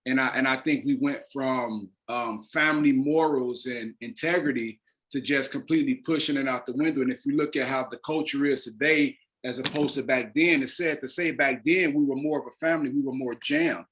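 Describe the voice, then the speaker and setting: Male interview guest, mid-pitched, earnest and deliberate, stresses key words. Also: fairly bright tone, a little hiss or hum, low-quality recording. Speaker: interview guest, playwright and actor. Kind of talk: earnest explanatory interview talk.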